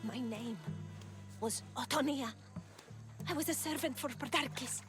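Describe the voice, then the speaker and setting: An actress playing an older woman servant who speaks cryptically, telling old secrets, over loud game music. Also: sits high, a little slow. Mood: grave. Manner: cryptic, storytelling.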